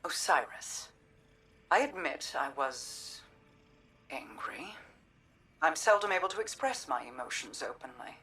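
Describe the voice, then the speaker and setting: Low-voiced, aloof queen. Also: lively intonation, unhurried, long pauses, fairly bright tone, far from the mic, heavily acted. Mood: guarded. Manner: poised, formal, imperious. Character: regal, aloof queen, composed and calculating. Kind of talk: dramatic video game dialogue.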